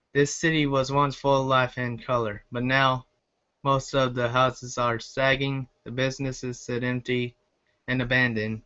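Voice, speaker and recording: A man with a clear, bright voice at an average pitch reads aloud like an amateur storyteller. His delivery is plain and a little halting. The recording is dull and lo-fi, like a homemade video. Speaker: fan fiction reader, video creator.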